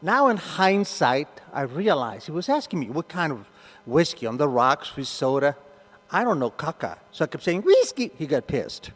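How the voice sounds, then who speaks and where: An older man with a thick Argentine Spanish accent tells his story to a meeting, his pitch sitting a little high and leaping around as he acts out the voices. His voice carries through a reverberant hall, the sound ringing on after each phrase. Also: sing-song, moderate pace, a bit bright. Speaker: recovery meeting speaker.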